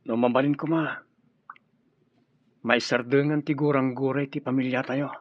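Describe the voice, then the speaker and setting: A man's voice: mid-range voice, fairly even intonation, moderate pace, no echo, natural voice. A man playing an earnest, deferential young suitor pleading for peace in a radio drama. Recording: muffled, lo-fi radio drama audio.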